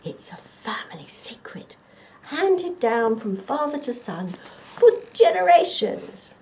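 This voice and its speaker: Sly male fox for a children's storybook female reader: falsely helpful, coaxing, very animated, slow delivery. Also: fairly high pitch, in a whisper, voice pushed up, heavily acted. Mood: coy.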